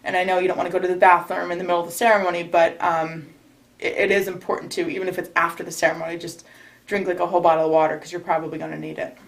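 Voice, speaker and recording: A woman's voice: lowish voice, level tone, very fast, gravelly. A young woman, a recent bride from New York state, giving casual interview advice in a chatty way with frequent restarts. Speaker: recently married bride, guest.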